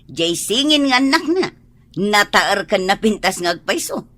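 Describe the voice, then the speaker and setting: A woman's voice: medium pitch, very animated, measured pace, a bit bright. A woman with an Ilocano accent playing a spiteful, envious wife and mother with a scornful manner in a radio drama. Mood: mocking.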